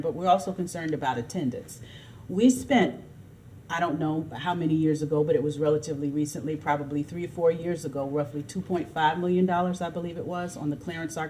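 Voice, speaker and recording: Low-voiced woman commissioner, earnest. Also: dark tone, a little hiss or hum. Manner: earnest, measured questioning. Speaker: city commissioner. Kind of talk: public meeting remarks. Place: city council chamber.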